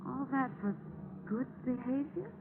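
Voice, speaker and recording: An actress playing a cool, flirtatious, secretive female stowaway in a 1940s radio drama, coy and sharp-tongued. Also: high voice, animated, a little slow. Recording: tinny, noisy old radio recording.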